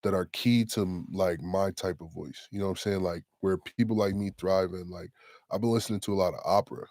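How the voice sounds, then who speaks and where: A young man in his twenties, a rapper with a deep voice, talking casually and loosely in an interview. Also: fairly even intonation, a little mellow, dry recording, clean studio sound. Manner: laid-back, slangy, drawn-out affirmations. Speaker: rapper, guest.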